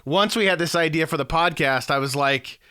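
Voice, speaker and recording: A man's voice: a little high, fairly quick, bright, forceful tone, smooth, no echo, studio quality. A man hosting a podcast, introducing his guest with enthusiastic, rambling setup. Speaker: podcast host. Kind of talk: upbeat host introduction and chat.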